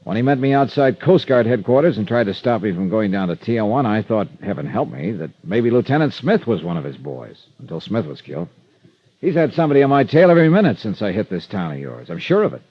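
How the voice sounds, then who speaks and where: A man's voice: on the low side, very animated, quick pace, slightly rough, no room sound, full character voice. An American man playing a wry, hard-boiled freelance insurance investigator in clipped, confiding 1950s radio detective style. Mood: exasperated. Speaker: lead actor and narrator. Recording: muffled vintage radio recording with music.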